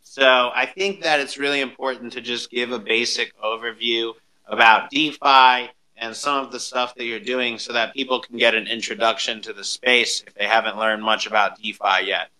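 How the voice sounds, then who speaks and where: A man's voice: medium pitch, fairly even intonation, moderate pace, pushed and bright, rough recording. A man hosting a podcast, introducing and interviewing in an upbeat presenter style. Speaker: podcast host.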